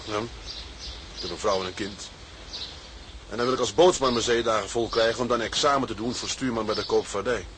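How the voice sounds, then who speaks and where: A man's voice: on the low side, brisk, long pauses, a bit bright, a little hiss or hum, lightly acted. Man acting a candid, respectful young boatswain. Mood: reluctant, confessing. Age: young adult. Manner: deferential, hesitant but candid. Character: young, frank, respectful boatswain from the towing trade. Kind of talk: radio drama acting.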